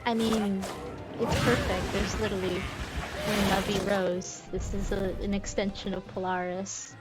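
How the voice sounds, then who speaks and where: Woman streamer musing over loud game music. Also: mid-range voice, little pitch movement, a little slow. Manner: musing, thinking aloud. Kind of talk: casual gameplay commentary. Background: video game music.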